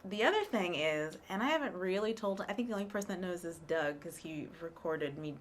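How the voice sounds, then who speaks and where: A woman co-hosting a live show, talking in a chatty, expressive way. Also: on the low side, a little hiss or hum. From rural area. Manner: chatty, expressive, quick to laugh. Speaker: co-host and actor. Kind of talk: casual live show chat.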